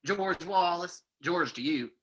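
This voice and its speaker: Performer as a husky, boisterous male prospector. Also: on the low side, slow, fairly bright tone, exaggerated voice. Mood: flirtatious, jovial. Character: boisterous, flirtatious male gold prospector named George. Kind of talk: old-time radio drama reading.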